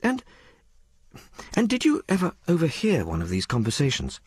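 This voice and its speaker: A man's voice: a little high, wildly swooping pitch, moderate pace, husky, no room sound, studio quality, pitched above the performer's own voice, exaggerated voice. A man voicing a genteel young lady in an audiobook, speaking properly, earnestly and firmly. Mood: firm, probing. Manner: proper, earnest, insistent questioning. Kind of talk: audiobook character dialogue.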